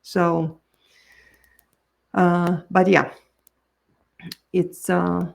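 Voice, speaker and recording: A woman's voice: flat delivery, extremely slow, creaky. A woman, being her language, answering podcast questions as a book coach in an earnest way with frequent restarts. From Romania. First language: Romanian. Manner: earnest, with frequent restarts and pitch jumps on key words.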